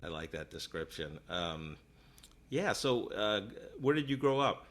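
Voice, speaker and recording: Low-voiced man hosting a podcast chat. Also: big pitch swings, unhurried, fairly bright tone. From New York. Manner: rambling, many filler words and restarts. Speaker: podcast host. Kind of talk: casual interview chat.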